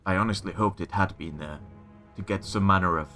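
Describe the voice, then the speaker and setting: A man's voice: sits low, fairly quick, bright, forceful tone, soft background music. A man playing a wounded, stubborn militia captain in a fantasy audio drama, terse and bitter, speaking with formal military address. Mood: grim, troubled.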